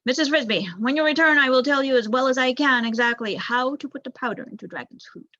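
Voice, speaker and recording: A woman's voice: high voice, quick pace, bright, forceful tone, voice pushed up. A young woman voicing a tired, matter-of-fact male mouse in a storybook, weary and plain-spoken, on a dull recording.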